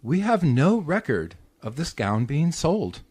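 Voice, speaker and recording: A man's voice: fairly high pitch, sing-song, a little slow, a little mellow, husky, full character voice. A man playing an officious, falsely genteel department store clerk in a 1940s-style radio comedy, fussy and pompous. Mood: haughty, irritated.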